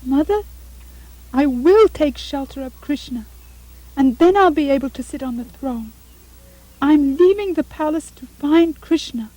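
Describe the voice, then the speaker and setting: A woman's voice: sits high, animated, measured pace, warm tone, dry recording, poor audio. A woman voicing a brave, indignant five-year-old prince in a puppet show, in an earnest, declamatory way. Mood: determined.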